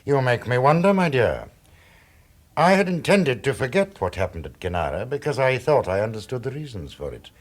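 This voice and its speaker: Cruel possessive crime boss, husky voice, widely swinging intonation, measured menace, radio drama. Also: male voice, mid-range voice, sing-song. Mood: coldly menacing. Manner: measured, condescending, threatening.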